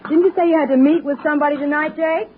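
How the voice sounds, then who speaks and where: A woman's voice: sits high, steady tone, rapid-fire, narrow, tinny audio, natural voice. An actress playing a homely small-town woman with a scheming streak in a 1960s radio drama. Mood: sweet, hospitable. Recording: thin, slightly noisy vintage radio recording.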